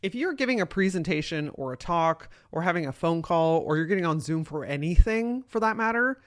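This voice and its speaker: Deep-voiced woman, candid podcast monologue. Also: brisk. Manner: candid, swears freely, animated storytelling. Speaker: podcast host and keynote speaker. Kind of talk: casual solo podcast monologue.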